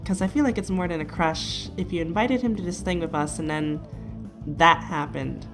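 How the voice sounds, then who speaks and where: Young woman as teasing straight-talking superhero girl, kinda low voice, audio drama, light music. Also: moderate pace, lightly acted. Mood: probing. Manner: frank, teasing, slangy.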